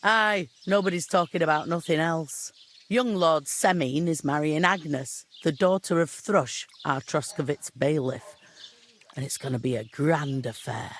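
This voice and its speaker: A man's voice: fairly high pitch, a little slow, fairly bright tone, a little hiss or hum. A man playing a chatty, good-natured rustic tavern local in a medieval video game, with folksy country speech. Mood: friendly gossip. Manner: folksy, colloquial rural speech.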